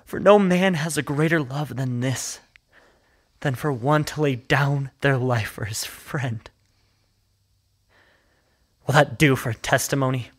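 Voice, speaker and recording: A man's voice: medium pitch, slow, pauses often. An actor playing a grief-stricken, haunted young man in an emotional, solemn audio drama performance. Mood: haunted, grieving.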